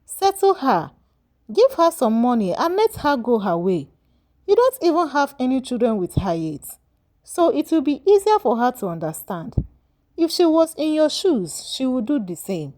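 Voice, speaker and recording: A woman's voice: sits high, big pitch swings, moderate pace, a little mellow. A woman voicing a pragmatic, persuasive male friend in a storybook tale, with insistent delivery. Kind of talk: storybook dialogue.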